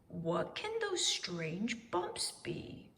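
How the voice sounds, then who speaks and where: A woman voicing an anxious, indignant male owl in a children's storybook, easily worked up, with exclamatory, drawn-out words. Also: medium pitch, huge pitch swings, fairly bright tone, big-room sound, a little hiss or hum, full character voice. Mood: worried. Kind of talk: children's storybook read-aloud.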